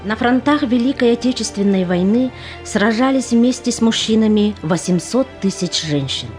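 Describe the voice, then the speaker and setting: Solemn woman narrator over soft music. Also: fairly high pitch, lively intonation, normal speed. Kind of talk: scripted solemn narration for audio play.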